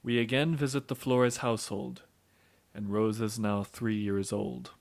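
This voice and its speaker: A man's voice: on the low side, a little slow, a little hiss or hum. A man playing the solemn storytelling narrator of an audio drama, reading scripted narration.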